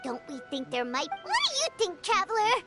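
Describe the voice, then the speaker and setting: Squeaky, childlike little fairy companion. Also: female voice, moderate pace. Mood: concerned. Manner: exclamatory, expressive. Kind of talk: anime video game voice acting. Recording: music behind the voice.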